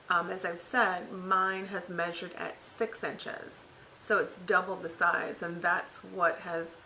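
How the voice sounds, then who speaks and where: A woman in her thirties talks casually from her couch with a gravelly, raspy voice that cracks now and then. Her tone is bright and a little tense, and a faint hiss sits under the webcam sound. She rambles and corrects herself, sounding worn out. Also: lowish voice, low-quality recording. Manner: rambling, filler-heavy, self-correcting. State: recovering from throat surgery, tired. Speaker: vlogger. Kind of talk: casual health update vlog. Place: living room, seated on couch by window.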